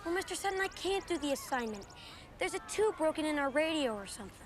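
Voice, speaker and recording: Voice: sits high, big pitch swings, fast, slight background noise. A performer playing an earnest, inquisitive schoolboy in a 1930s television period drama. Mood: curious, earnest.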